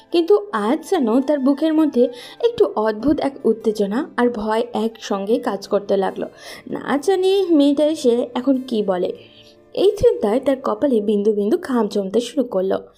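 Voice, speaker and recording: Female narrator for romantic fiction, mellow, fairly high voice, scripted read over faint music. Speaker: story narrator.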